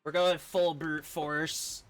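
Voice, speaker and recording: High-voiced, bright male game streamer. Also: flat delivery, takes it slowly. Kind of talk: casual live gameplay commentary. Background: game music.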